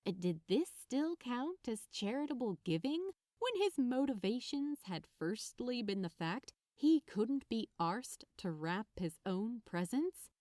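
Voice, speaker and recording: A woman narrates a story with lively, swooping intonation. Her voice sits a little high and she takes her time, in a very dry recording. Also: no echo. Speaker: fan fiction narrator. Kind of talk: expressive scripted story narration.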